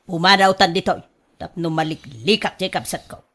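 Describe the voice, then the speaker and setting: A woman's voice: lowish voice, normal speed, a bit bright. A woman playing a headstrong, scheming middle-aged mother in a radio drama, speaking emphatically and insistently. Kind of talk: Ilocano radio drama acting.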